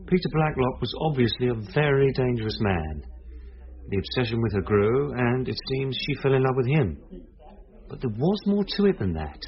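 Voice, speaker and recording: An actor playing a calm, reassuring police detective who explains things gently in a radio drama. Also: male voice, medium pitch, normal speed. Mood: matter-of-fact. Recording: slightly dull radio recording.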